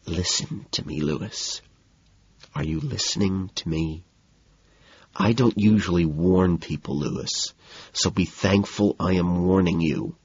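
A man's voice: unhurried, husky, dry recording, dull audio, exaggerated voice. A man playing a cold, exasperated, menacing man in an audiobook, hissing threats under his breath. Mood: cold menace. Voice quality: low, hushed, hissing.

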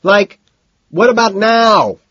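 A man voicing an impatient, desperate young-money partygoer in an audiobook, shouting insistently. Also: high-pitched, takes it slowly, dry recording, lo-fi sound, pitched above the performer's own voice, exaggerated voice. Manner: shouting over a crush, insistent.

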